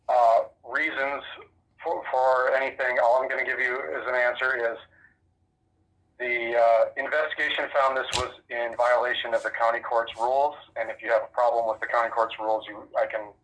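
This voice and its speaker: Male official, American, bright forceful tone over a phone line, measured and firm, formally fielding press questions. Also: mid-range voice, flat delivery. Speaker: sheriff's office representative. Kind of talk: formal answers to a press query.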